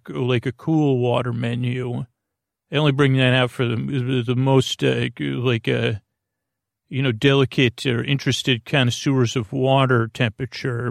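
A man hosting a podcast in a rambling, whimsical monologue, halting and repeating words as he drifts into tangents. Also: medium pitch, creaky, no echo, clean studio sound. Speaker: podcast host.

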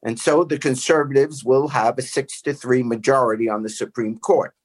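A man hosting a podcast, delivering a measured, deliberate opinion piece. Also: medium pitch, steady tone. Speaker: podcast host. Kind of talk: casual chat then commentary.

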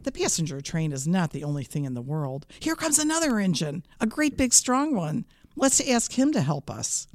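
A woman's voice: fairly low pitch, very animated, moderate pace, a little mellow, dry recording, studio quality. A woman voicing a funny little toy clown in a storybook, eagerly calling out with hope and cheer. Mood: excited.

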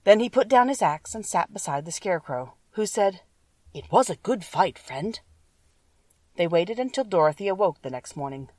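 Female storybook narrator, slightly low voice, very dry room, sitting a little back from the mic. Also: a little mellow, no room sound, distant mic. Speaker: audiobook narrator. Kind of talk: scripted children's story narration.

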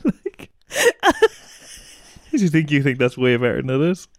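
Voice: mid-range voice, wildly swooping pitch, takes it slowly, no echo, clean studio sound. A podcast co-host in casual banter and song, teasing and sweary, over music.

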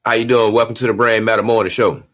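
A man's voice: fairly low pitch, little pitch movement, quick pace, fairly bright tone. A man hosting his own podcast, talking in an emphatic, streetwise, preachy way and tossing in "you know what I'm saying" over and over. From Georgia, USA. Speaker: podcast host. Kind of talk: solo podcast monologue.

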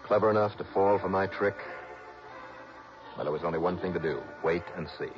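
A dull vintage radio recording with prominent music carries a man acting a low-voiced, hard-boiled investigator. He is clipped and sardonic, narrating his case in old radio drama style. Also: fairly quick, lightly acted. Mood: wry, brooding. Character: tough, wry male insurance investigator narrating his case. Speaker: lead actor and narrator.

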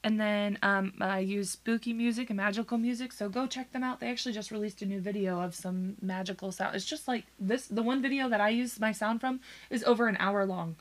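A woman's voice: mid-range voice, steady tone, a little fast, few pauses. A woman co-hosting a podcast in casual chat, giggling often and rambling between jokes. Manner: giggly, rambling, talks over her co-host. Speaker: podcast co-host.

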